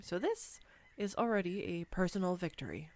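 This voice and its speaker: A woman playing a clumsy, excitable young woman on a first date in a roleplay audio, with a bubbly, hesitant, playfully teasing manner. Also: fairly low pitch, moderate pace, dry recording. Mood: fond, amused. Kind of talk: scripted girlfriend roleplay audio, intimate one-sided dialogue.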